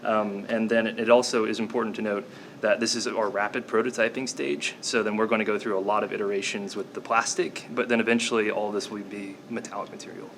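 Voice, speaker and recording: Young man, lowish voice, steady matter-of-fact, faint ambience. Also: fairly quick, slight background noise. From United States. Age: young adult. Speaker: engineering student. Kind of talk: casual explanation.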